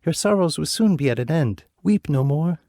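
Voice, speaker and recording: A man's voice: fairly high pitch, lively intonation, moderate pace, dark tone, dry recording, clean studio sound, voice pushed up. A man reading the lines of a lovesick young prince turned bluebird, earnest and tender, with his voice raised.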